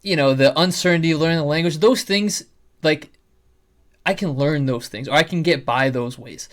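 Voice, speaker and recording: A man's voice: a little high, moderate pace. A young man chatting earnestly on a podcast, often restarting his sentences as he talks. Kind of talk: casual podcast conversation.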